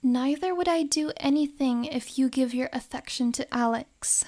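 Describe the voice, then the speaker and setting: A woman playing a possessive, lovesick yandere in an ASMR roleplay, with soft, whispery, formally phrased speech and a wounded air. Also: high-pitched, normal speed. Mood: hurt pleading.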